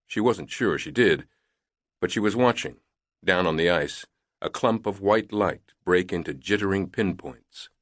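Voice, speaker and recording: A man narrating a thriller novel in a measured, deliberate reading on a close studio mic. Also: low voice, no echo. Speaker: audiobook narrator.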